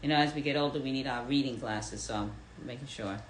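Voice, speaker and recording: A woman's voice: a bass voice, fast talker, a little hiss or hum. A woman in her fifties hosting a live audio room, reading out listener comments and repeating her questions to the crowd with emphasis. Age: 50s. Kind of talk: live audience chat.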